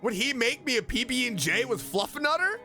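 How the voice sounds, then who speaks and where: A man hosting a casual reaction video as a game fan, talking in an enthusiastic, expressive way. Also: extremely high pitch, fairly quick. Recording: music behind.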